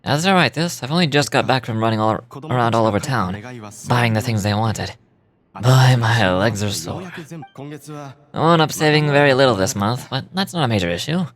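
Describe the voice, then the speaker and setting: A man's voice: mid-range voice, expressive, normal speed, crowd noise, close to an everyday voice. A man voicing a kindly, modest stage magician from a game, reading his diary in a reflective way and occasionally stumbling over words. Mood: rueful, amused.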